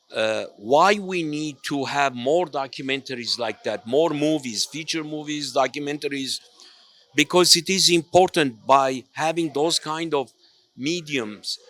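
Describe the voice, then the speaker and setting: A man's voice: a little high, a little slow, fairly bright tone. A man, a provincial legislator, answering formally in a speech-like way. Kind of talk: event interview answer.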